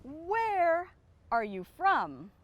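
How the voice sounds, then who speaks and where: A woman from Los Angeles performing spoken word poetry in a duet, with a measured, emphatic delivery. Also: very high-pitched, huge pitch swings, lo-fi sound. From Los Angeles area.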